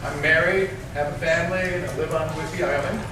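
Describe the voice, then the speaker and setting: A man's voice: a little high, fairly even intonation, fairly quick. A man from Washington state giving a casual talk at an event, chatty with a self-deprecating humor. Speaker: guest speaker and field investigator. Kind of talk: casual conference presentation. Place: event venue before a crowd. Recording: echoey hall, distant mic, clear background noise.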